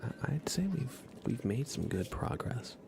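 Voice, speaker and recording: A soft-spoken man hosting a relaxed ASMR gaming livestream, often dropping into a whisper. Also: medium pitch, a little slow, gravelly. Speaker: livestream host.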